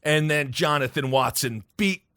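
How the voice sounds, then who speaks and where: A man's voice: a little high, normal speed, sharp tone, no echo, clean studio sound. A man with a Midwestern accent co-hosting a podcast, telling news stories in a jokey, rambling way. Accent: Midwestern American. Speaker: podcast co-host. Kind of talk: casual comedic chat.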